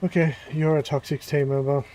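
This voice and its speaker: A man giving casual commentary as he plays a game, relaxed and thinking aloud. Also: on the high side, brisk, a little hiss or hum. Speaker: gaming YouTuber.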